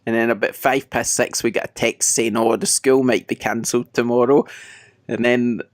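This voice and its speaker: A man with a head cold, his voice croaky, chatting loosely as a podcast host. He laughs at his own slip-ups and keeps things light. The sound is dry and close, with little room around it. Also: medium pitch, steady tone, normal speed, no room sound. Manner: chatty, self-mocking, laughs often. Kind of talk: casual chat.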